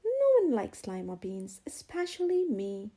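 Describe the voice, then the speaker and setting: A woman voicing an anxious young schoolgirl in a storybook, with dramatic, expressive delivery. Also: medium pitch, unhurried, husky, pitched below the performer's own voice, exaggerated voice. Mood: disgusted refusal. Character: anxious young schoolgirl, stubborn, shy of admitting wishes.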